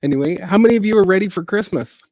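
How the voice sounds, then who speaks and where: Chatty middle-aged woman with a deep voice. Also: animated, rapid-fire, dark tone, no echo, rough recording. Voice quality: low, deep speaking voice. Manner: chatty and rambling, with many fillers and laughs. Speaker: singer and gardening channel host. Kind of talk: casual livestream chat with viewers.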